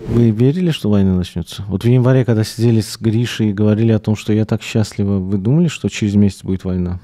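Quick, dark-voiced male podcast host. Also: fairly low pitch, steady tone. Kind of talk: casual interview questioning. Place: small furnished podcast studio. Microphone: close studio microphone on a stand.

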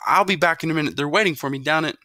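A man playing an evasive teenage boy, raised pitch, stammering excuses, bright storybook voice. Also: racing, no echo, clean studio sound. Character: sulky teenage boy caught out.

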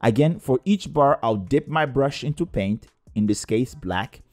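A man's voice: medium pitch, animated, a little slow, a little mellow, no echo, soft background music. A man narrating a scripted step-by-step coding tutorial in a methodical, explanatory way. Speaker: tutorial presenter.

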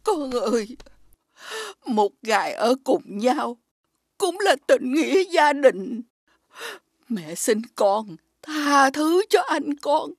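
A sorrowful cartoon mother, begging tearfully. Her voice is high and slow, with a dry, close sound. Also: big pitch swings, dry recording, exaggerated voice. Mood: tearful pleading. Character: pleading, sorrowful mother of a villain. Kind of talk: animated fairy tale acting.

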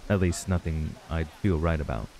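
A man acting a stressed, earnest young runaway in an intimate audio roleplay, speaking haltingly with sighs. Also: very deep voice, fairly even intonation, vocal fry, warm tone, slight background noise, low-quality recording. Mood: apologetic, subdued. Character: stressed young man, frustrated runaway reuniting with childhood friend.

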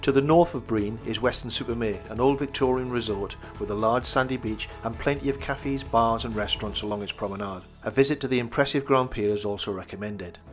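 A man reading a scripted campsite review voice-over in an even, measured way. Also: medium pitch, soft background music.

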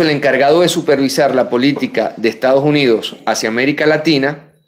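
A man in his thirties with a Venezuelan Spanish accent, hosting a live political commentary show from a room lined with bookshelves, speaking emphatically and dropping to quiet asides. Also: medium pitch. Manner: emphatic, rises sharply on key names, drops to quiet asides. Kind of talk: live political commentary reading viewer messages. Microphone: webcam at desk.

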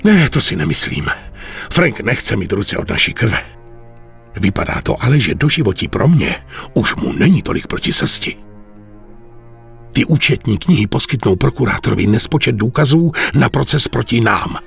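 Stern aging mafia boss, gravelly. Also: male voice, mid-range voice, very animated, fairly bright tone, faint music behind. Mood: grim resolve. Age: older. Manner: grave, deliberate, authoritative. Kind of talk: dramatic game cutscene dubbing.

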